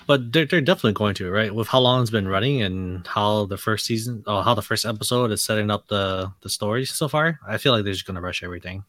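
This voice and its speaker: A man's voice: lowish voice, fast, hardly any pauses, fairly bright tone, no echo, clean studio sound. A man in casual podcast chat, questioning and skeptical. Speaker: podcast co-host.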